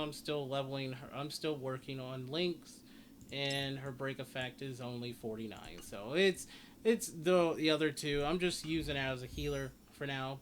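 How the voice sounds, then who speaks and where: A man's voice: medium pitch, fairly bright tone, a little hiss or hum. A man giving casual game guide commentary, rambling as he repeats and corrects himself. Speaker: gaming guide host.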